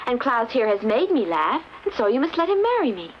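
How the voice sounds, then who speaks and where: An actress playing an insistent, giggly young princess in a theatrical fairy-tale play. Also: female voice, on the high side, very animated, brisk, highs cut off, slight background noise.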